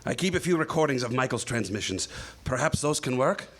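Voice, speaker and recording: Guy as a proper earnest scientist, regular male pitch, polite, a bit lost, radio play. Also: animated, fairly quick, close to an everyday voice. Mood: curious. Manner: formal, precise, earnest. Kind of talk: sci-fi comedy radio play.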